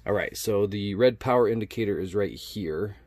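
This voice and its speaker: A man's voice: fairly low pitch, normal speed, a little mellow, no room sound. A man doing a casual, laid-back unboxing narration as a product reviewer, speaking in colloquial language. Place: indoors at a wooden workbench.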